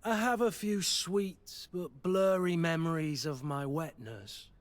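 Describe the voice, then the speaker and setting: Game role: battle-worn mercenary played by a man, loyal and brooding, voice pitched a bit high. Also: close to an everyday voice. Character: gruff, battle-worn male mercenary master of arms, guilt-ridden, loyal. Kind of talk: video game dialogue acting.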